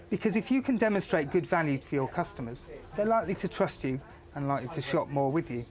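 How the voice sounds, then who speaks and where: British male adviser, slightly high voice, explanatory interview delivery. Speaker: retail adviser.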